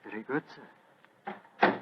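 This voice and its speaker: An actor playing a deferential servant, apologetic and hesitant but persistent, in 1940s radio style. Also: male voice, very slow. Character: deferential male English servant, meek but persistent messenger. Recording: noisy, dull old radio recording.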